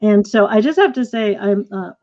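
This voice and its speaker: A woman's voice: medium pitch, lively intonation, moderate pace, dark tone. A middle-aged woman with a mid-range voice hosts a casual discussion after a show. She is effusive and appreciative as she talks. The sound is a little muffled, as on a video call. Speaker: play director and host.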